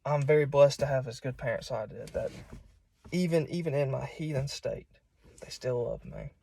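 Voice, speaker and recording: A man's voice: mid-range voice, moderate pace, vocal fry, warm tone, husky. A young man with a Southern US accent, preaching in an informal, folksy and conversational way. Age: young adult. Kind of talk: informal sermon. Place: solo self-recording.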